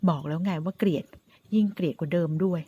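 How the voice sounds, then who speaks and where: A woman's voice: fairly low pitch, fast talker, warm tone, slightly rough. A woman voicing a flustered young woman who protests that she hates a man.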